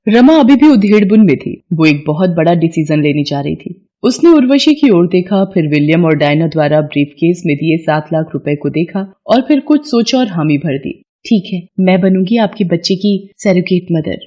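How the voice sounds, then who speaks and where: A woman's voice: sits low, sing-song, normal speed, few pauses, dark tone, highs cut off. A woman narrating a scripted moral story as its storyteller.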